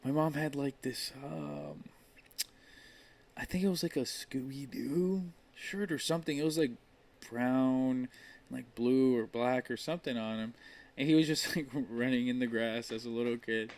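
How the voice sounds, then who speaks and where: A man's voice: medium pitch, animated, normal speed, a little mellow. A man in his twenties co-hosting a podcast, laid-back and swearing. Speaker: podcast co-host. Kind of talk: casual chat.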